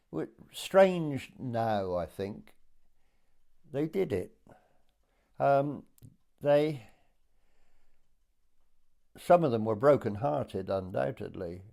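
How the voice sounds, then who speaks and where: A man, reminiscing hesitantly with many pauses as he is interviewed. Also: mid-range voice, vocal fry, warm tone. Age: late 80s. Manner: hesitant, pausing, unhurried recollection. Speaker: oral history interviewee. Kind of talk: reminiscing in conversation.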